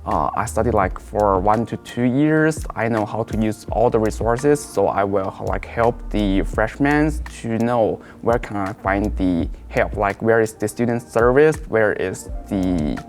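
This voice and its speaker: A man's voice: on the low side, a little mellow, soft background music. Young man. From China. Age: young adult. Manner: halting, simple non-native phrasing. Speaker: international student. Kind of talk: casual chat.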